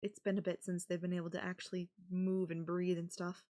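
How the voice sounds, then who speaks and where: A young woman playing a sweet, possessive yandere girlfriend in an intimate audio roleplay, speaking softly and haltingly to the listener. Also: on the low side, level tone, lightly acted. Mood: doting, gentle.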